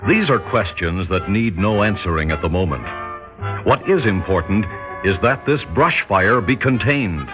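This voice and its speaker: A man's voice: fairly low pitch, lively intonation, normal speed, over music, lightly acted. Male actor as solemn male storyteller, portentous and rhetorical, widely swinging intonation, dull old radio drama recording. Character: grave, portentous male storyteller pondering war. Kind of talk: 1960s radio drama scripted narration.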